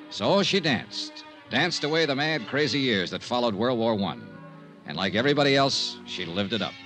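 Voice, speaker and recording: Animated, hard-boiled American radio investigator. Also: male voice, mid-range voice. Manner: punchy, clipped, dramatic phrasing. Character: brisk, skeptical male insurance investigator, hard-boiled and wry. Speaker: actor playing the lead and narrator of a radio mystery serial. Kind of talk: old-time radio detective acting and narration. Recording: old radio recording, music bed.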